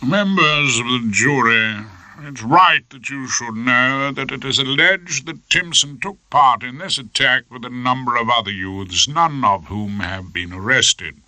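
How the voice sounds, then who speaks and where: A man voicing a smooth, deferential British prosecuting barrister in an audiobook, formal and purring. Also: mid-range voice, a little slow, pushed and bright, dry recording, pitched above the performer's own voice. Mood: measured, formal.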